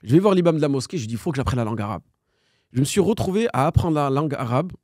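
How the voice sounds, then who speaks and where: A man's voice: mid-range voice, rapid-fire, no room sound, studio quality. A man in his thirties, a podcast guest, telling a personal story in a casual conversation, acting out the dialogue and mixing in Arabic phrases. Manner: animated storyteller, acts out quoted dialogue, sprinkles in Arabic phrases.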